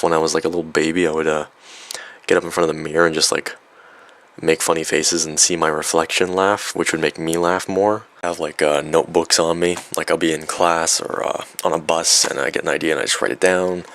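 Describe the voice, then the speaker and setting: A young man with a low, somewhat raspy voice, worn down by a cold. He talks off the cuff about himself, rambling and poking fun at himself. Plenty of ums and uhs along the way. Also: flat delivery, brisk, fairly bright tone. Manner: rambling, filler-heavy, self-mocking. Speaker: video creator. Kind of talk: unscripted vlog.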